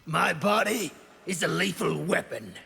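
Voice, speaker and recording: An actor playing a proud, battle-hungry soldier in a video game, haughty and deliberate, with a very gravelly voice. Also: male voice, high-pitched, animated, pushed and bright, in a large hall, a little hiss or hum, full character voice. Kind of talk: video game villain banter.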